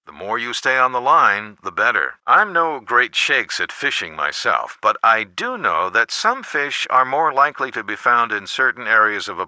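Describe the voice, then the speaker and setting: An actor playing a helpful, folksy adult mentor who coaches the player with knowing, encouraging hints in a video game. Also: male voice, fairly low pitch, bright, forceful tone, dry recording, exaggerated voice. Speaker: hint giver in an adventure game. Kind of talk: scripted video game voice acting.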